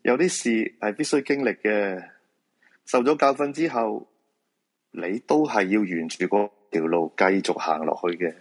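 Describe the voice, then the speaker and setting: A man's voice: on the low side, moderate pace, lightly acted. A man with a Hong Kong Cantonese accent acting a weary, persuasive feng shui master in a supernatural radio drama. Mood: wry, placating.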